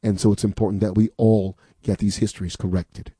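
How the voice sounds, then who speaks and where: A man on a radio pledge drive makes an emphatic, persuasive appeal to listeners. His voice is dark and mellow, recorded close and dry in a studio. He asks rhetorical questions and repeats the call-in number again and again. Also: lowish voice, level tone, a little fast, husky, no echo. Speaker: radio fund drive host.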